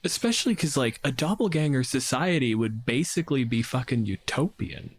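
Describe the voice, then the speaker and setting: Mid-pitched man riffing casually. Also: expressive, brisk, breathy edge. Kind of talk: casual, joking panel chat.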